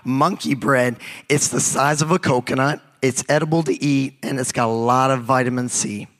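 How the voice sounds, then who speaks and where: A young man, a youth pastor, preaching a sermon in a raspy, hoarse voice from a recent cold, casual and halting with many restarts. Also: medium pitch, fairly even intonation, fairly bright tone.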